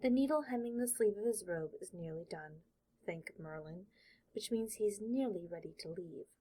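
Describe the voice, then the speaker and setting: A woman with a slightly husky voice narrates a work of fiction. She reads scripted prose in the present tense, as an audiobook storyteller does. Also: medium pitch, expressive, moderate pace, warm tone, poor audio.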